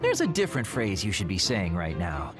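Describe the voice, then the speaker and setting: Encouraging young man, laid-back, hugely varied intonation, anime game, clear music. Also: sits low, huge pitch swings, a little fast. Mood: teasing, friendly. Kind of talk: anime video game dub acting.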